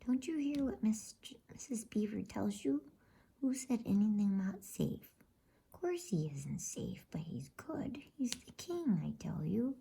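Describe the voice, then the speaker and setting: A woman voicing an earnest, folksy male talking beaver in a breathy whisper, declaiming in measured phrases for a bedtime storybook. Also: medium pitch, sing-song, warm tone, voice pushed up, heavily acted. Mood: emphatic.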